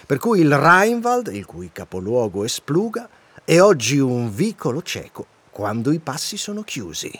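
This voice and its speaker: A man's voice: medium pitch, big pitch swings, normal speed, a bit bright, breathy edge. A man acting in a radio drama as an eager, pedantic guide, didactic and fussy, declaiming what he reads. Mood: insistent. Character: eager, bookish male guide, pedantic and easily flustered. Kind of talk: radio drama dialogue with read-aloud guide text.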